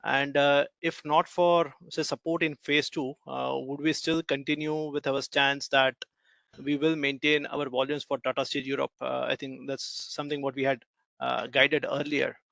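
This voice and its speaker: A man's voice: mid-range voice, flat delivery, normal speed, gravelly, fairly bright tone, dry recording. A man asking polite, multi-part questions as an analyst on a company earnings call.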